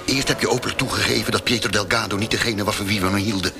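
A man's voice: lowish voice, steady tone, very fast, vocal fry, over music. An actor playing an earnest, argumentative interrogator in a radio drama, insistent and needling as he reasons.

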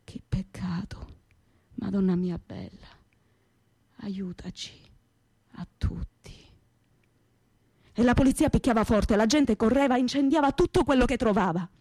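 A woman's voice: fairly high pitch, a little slow, pauses often, a little mellow, dry recording. An actress playing a devout young working-class woman with a Calabrian Italian accent, telling her story earnestly and emotively in a radio theatre monologue. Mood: hushed, pleading prayer. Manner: emotive storytelling in plain, dialect-tinged popular speech.

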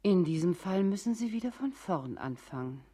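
Ironic female narrator, lowish voice, very animated pitch, instructive, mock-solicitous, radio play. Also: moderate pace, husky. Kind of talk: German radio play narration in second person.

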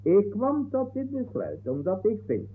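Pompous courtroom judge, high and slow, blustering, tinny 1960s radio comedy. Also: male voice, sing-song, slight background noise, heavily acted. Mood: solemn, pompous. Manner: pompous, halting, drawn-out delivery. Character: blustering, pompous male judge with football bias. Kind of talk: 1960s comic radio play acting. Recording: tinny, narrow-band 1960s radio recording.

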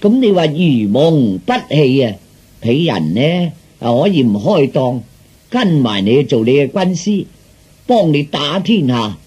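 A man voicing a glib, flattering fortune teller in Cantonese, with theatrical sales patter. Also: on the high side, unhurried, slightly rough, heavily acted. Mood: scheming, persuasive. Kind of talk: Cantonese storytelling performance.

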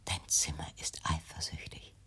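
An actress in a radio play playing an anxious, controlling mother, hushed and insinuating, with a husky, breathy voice. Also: extremely low pitch, flat delivery, moderate pace, in a whisper, full character voice. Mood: eerie, conspiratorial.

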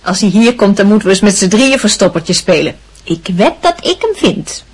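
A woman playing a gentle coaxing aunt. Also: medium pitch, big pitch swings, moderate pace, breathy edge. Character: kind middle-aged aunt.

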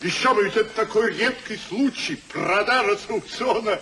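An actor playing an obsequious, cautious moneylender in a 1950s radio play. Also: male voice, sits high, animated, normal speed, pushed and bright, heavily acted. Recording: faintly noisy old radio recording.

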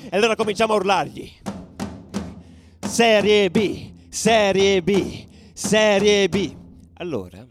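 Actor as a volatile football ultra, animated delivery. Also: male voice, high-pitched, takes it slowly, long pauses, over music. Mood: heated, excited. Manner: colloquial, foul-mouthed, volatile, swinging from hushed to shouted. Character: fiery male football ultra recounting street clashes. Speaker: actor narrating a radio theatre monologue. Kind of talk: dramatic first-person storytelling, radio theatre.

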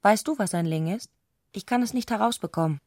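An actress playing an eccentric, excitable woman speaking an intimate letter in intense, abrupt short sentences, in a literary radio play. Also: medium pitch, a little fast, no echo, studio quality, lightly acted. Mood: intimate, insistent.

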